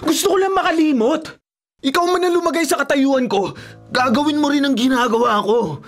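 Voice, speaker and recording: A man's voice: extremely high pitch, brisk, a bit bright, faint music behind. A man playing a lovelorn young college student, pleading and faltering in a melodramatic radio drama. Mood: hurt, protesting. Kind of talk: Filipino radio drama acting.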